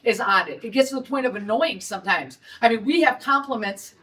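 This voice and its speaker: Brassy Detroit woman, high and distant. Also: expressive, fairly quick, sharp tone. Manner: brassy, blunt and indignant. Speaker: restaurant owner. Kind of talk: press conference statement. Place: restaurant.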